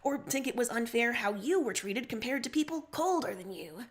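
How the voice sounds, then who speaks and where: A woman's voice: on the high side, expressive, normal speed, close to an everyday voice. A woman voicing a blunt, impatient young male rebel emperor in a read-aloud story. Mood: exasperated.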